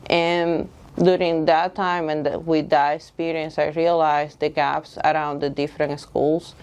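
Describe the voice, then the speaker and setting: A middle-aged woman from the Dominican Republic with a Dominican Spanish accent, explaining her case as a candidate in an earnest, halting way. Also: sits low, level tone, a little mellow, smooth. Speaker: school board candidate. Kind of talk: candidate interview, semi-prepared pitch.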